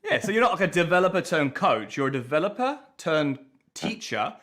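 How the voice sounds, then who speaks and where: A man hosting a relaxed talk show, his voice sitting a little high for a man. He chats loosely, rambling and circling back to restart his phrases. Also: a little fast. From from abroad, living in New Zealand. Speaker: podcast host. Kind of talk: casual chat.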